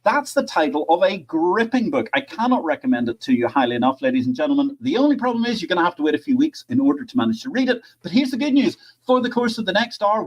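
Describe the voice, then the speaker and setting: A man hosting a livestream interview, effusive and theatrical in his delivery. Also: poor audio. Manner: effusive, theatrical delivery with sweeping pitch swings. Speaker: host.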